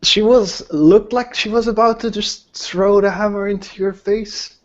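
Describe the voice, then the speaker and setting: A man's voice: high voice, fairly even intonation, unhurried. A man narrating a tabletop roleplaying game as game master, speaking casually with the players. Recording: dull, lo-fi voice recording.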